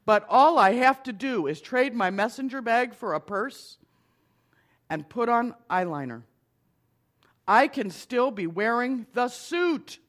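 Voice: medium pitch, expressive, slow, a bit bright. A middle-aged minister giving a conversational lecture to an audience, with wry asides.

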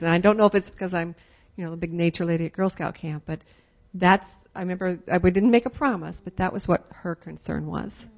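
A woman's voice: on the low side, creaky. A woman telling a personal memory to a congregation in a reflective, hesitant way. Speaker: congregation member. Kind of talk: casual storytelling. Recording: dull lo-fi room recording.

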